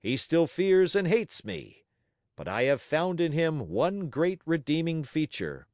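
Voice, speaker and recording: A man's voice: on the high side, sing-song, unhurried, darkish tone, dry recording, clean studio sound, pitched above the performer's own voice. A man voicing a pompous, domineering circus ringmaster in a storybook, with a grandiose showman's way of declaiming. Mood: pompous, boastful.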